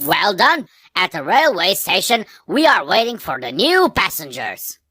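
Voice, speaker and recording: A woman's voice: medium pitch, sing-song, a little slow, bright, forceful tone, slightly rough, no echo, clean studio sound. A woman reading scripted narration for a children's cartoon game. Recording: noisy track with hiss.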